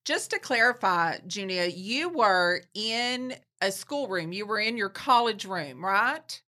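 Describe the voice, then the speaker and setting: A woman's voice: medium pitch, lively intonation, slow, sharp tone. A woman hosting an interview, asking questions and encouraging her guest. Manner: encouraging, brief affirmations. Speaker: host.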